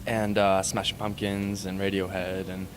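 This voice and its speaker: Man, 30s, musician, low pitch, halting casual interview answers. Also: completely flat delivery, background noise. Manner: hesitant, rambling with many fillers. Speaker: band musician.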